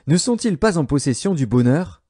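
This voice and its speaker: A man narrating an audio Bible in a solemn, measured way, enunciating each phrase with care. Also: fairly high pitch, expressive, mellow, husky. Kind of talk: scripted scripture narration.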